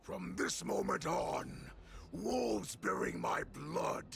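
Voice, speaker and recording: A male actor playing a bloodthirsty, grandiose wolf warlord in a video game, with theatrical proclamations and a breathy growl. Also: high-pitched, animated, slow, fairly bright tone, whispered, exaggerated voice. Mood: threatening. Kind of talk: dramatic video game voice acting.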